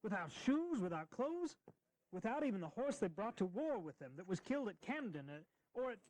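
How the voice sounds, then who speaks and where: An earnest young colonel with a high male voice, from a historical audio drama. He speaks with impassioned emphasis, pressing each point like a practised advocate. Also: big pitch swings, normal speed, a little mellow, dry recording, poor audio. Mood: pleading, indignant. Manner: emphatic, pleading, rhetorical.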